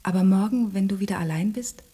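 A woman playing a motherly, worldly-wise female cat in an intimate radio drama, soft and soothing. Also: mid-range voice, fast, husky, natural voice. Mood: tender, wistful.

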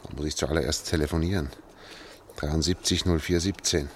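A deep-voiced middle-aged man, an anxious engineer guiding an escape in a radio play. He talks in hushed, halting, urgent phrases, with faint noise on the recording. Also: steady tone. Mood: hushed, reassuring. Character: anxious male engineer leading an escape through sewers. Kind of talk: German radio drama acting.

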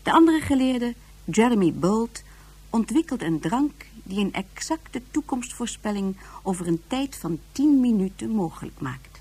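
A woman reading the narration of a radio play in a calm, measured way. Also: fairly high pitch, breathy edge.

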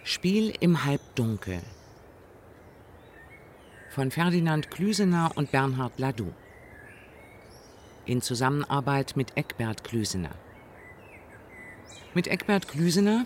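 A man with a slightly high voice reads out scripted radio play credits. Faint outdoor ambience with some birdsong sits behind his announcement. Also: a little hiss or hum.

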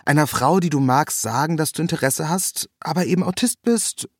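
A man voicing a puzzled, hesitant male listener who asks halting, sincere questions in a read story. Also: on the high side, no room sound, studio quality, natural voice. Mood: awkward, sincere. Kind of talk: quoted dialogue in a read story.

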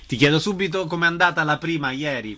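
A man's voice: a little high, fast talker, background noise. A man hosting a radio interview, introducing guests and putting questions to them. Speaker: radio host.

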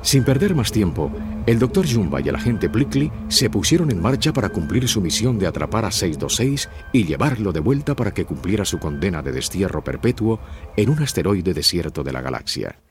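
A man's voice: sits low, very animated, fairly quick. A man narrating a scripted children's story. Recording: music behind.

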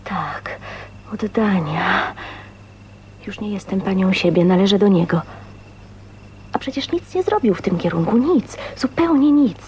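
Dreamy, shy young spinster played by an actress. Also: female voice, mid-range voice, lively intonation, unhurried, pauses often, darkish tone, natural voice. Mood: hushed, awestruck love. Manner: dreamy, emotional, hesitant phrasing with breathy whispers. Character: shy, dreamy 29-year-old spinster, wistful and newly in love. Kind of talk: radio drama acting, intimate monologue and dialogue. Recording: faint noise, 1980s radio drama.

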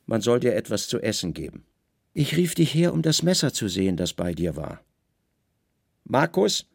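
A man's voice: medium pitch, very animated, normal speed, creaky, breathy edge, no room sound, studio quality, exaggerated voice. A man voicing a stern, commanding Roman governor in a radio drama, terse, imperious and troubled.

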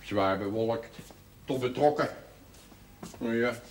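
An actor playing a gruff, irreverent working-class father with a blunt manner in a television drama. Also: medium pitch, slow, a little hiss or hum, close to an everyday voice. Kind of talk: naturalistic television drama dialogue.

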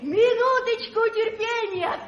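An actress playing a playful, coaxing young daughter of a wealthy household in a radio drama. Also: female voice, extremely high pitch, slow pace. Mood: playful, excited. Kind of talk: theatrical radio drama acting. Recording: dull 1980s radio play recording.

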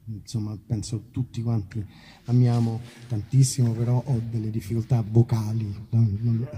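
An Italian man, a musician, chatting informally between songs in a halting, self-deprecating, rambling way. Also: medium pitch, little pitch movement, warm tone, a little hiss or hum. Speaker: musician performing and introducing songs. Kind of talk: casual rambling stage talk between songs. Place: outdoor festival concert.

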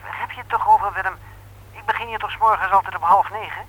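An actor playing a hesitant, worried brother on the telephone, in halting 1960s radio serial style. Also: male voice, high-pitched, steady tone, close to an everyday voice. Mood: puzzled, brisk. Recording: old radio telephone scene, faint noise.

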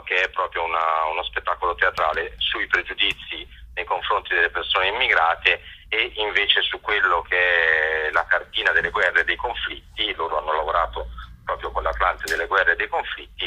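A man with a low, husky voice is being interviewed as an event organizer. He has a very sharp, forceful edge to his tone and speaks haltingly, stretching words while he looks for the right phrase. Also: dull audio. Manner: halting, searching for words with drawn-out words. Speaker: festival organizer and interview guest. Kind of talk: spoken interview, presenting an event.

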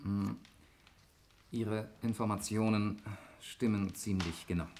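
An actor playing a cool, logical law clerk under suspicion in a 1960s German radio play. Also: male voice, on the low side, steady tone, slow pace, darkish tone. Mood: guarded. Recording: 1960s radio recording, hiss and clicks.

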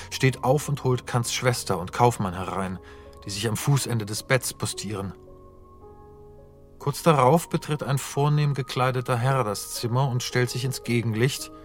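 A male narrator reads scene directions for a radio drama. His delivery is measured and sober, in a mid-pitched voice, with faint music behind him.

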